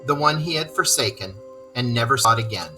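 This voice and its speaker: A storyteller reading aloud to children in expressive narration, with explanatory asides. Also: mid-range voice, fairly quick, over music, low-quality recording.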